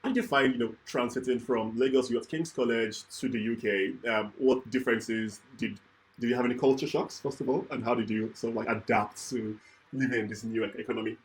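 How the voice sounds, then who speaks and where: A man's voice: mid-range voice, fairly even intonation, fairly quick, low-quality recording. A man hosting an interview, asking long, hesitant questions with "um" and "sort of" hedges. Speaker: host.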